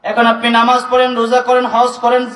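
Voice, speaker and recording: A high-pitched man delivers a sermon, pressing his listeners with repeated questions and rising to shouts between quieter stretches. He is picked up from some distance, and his voice rings through a reverberant hall. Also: completely flat delivery, brisk. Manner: rhetorical questions to the crowd, swings between quiet and shouted lines. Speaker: preacher. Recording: echoey hall recording from far off.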